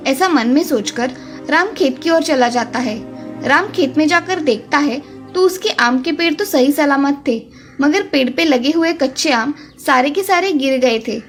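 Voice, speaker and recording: High-pitched woman narrating a children's story. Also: expressive, measured pace. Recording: music under the voice.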